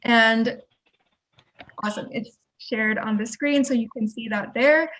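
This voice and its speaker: Higher-voiced woman hosting an online panel. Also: poor audio. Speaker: host and moderator of an online panel. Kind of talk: polite event facilitation. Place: online video call.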